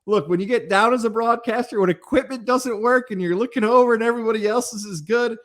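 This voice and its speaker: A man's voice: extremely high pitch, quick pace. A middle-aged American man hosting an interview podcast in a chatty, effusive, conversational way. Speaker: podcast host, sports broadcaster.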